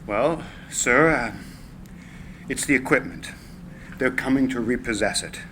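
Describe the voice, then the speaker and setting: Guy as sorry, respectful worker, medium pitch, halting, script reading, bit of noise behind. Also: bright, forceful tone. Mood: apologetic. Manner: hesitant, apologetic. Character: deferential, regretful male employee bearing bad news. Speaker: actor. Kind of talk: live screenplay reading.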